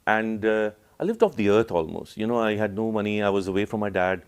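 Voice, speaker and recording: A middle-aged man from Pakistan with a low voice, talking as an interview guest. He is reflective and conversational, often pausing to find the right word. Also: lively intonation, clean tone. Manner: thoughtful, hesitant, searching for words.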